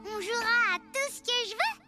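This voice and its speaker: A woman's voice: very high voice, big pitch swings, a little fast. A woman with a French accent playing a bossy, sulky little girl in a cartoon, blunt and childlike. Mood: demanding. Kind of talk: animated cartoon acting. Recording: loud music behind the voice.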